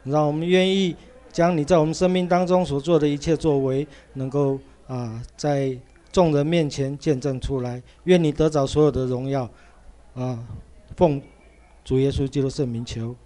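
Male service leader, mellow, fervent prayer, relaxed introductions. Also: on the high side, normal speed. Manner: fervent praying, informal introducing.

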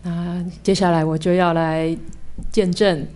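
A woman's voice: fairly low pitch, level tone, dark tone. Young Taiwanese woman giving church testimony. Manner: measured, pausing for translation. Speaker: theology student giving testimony.

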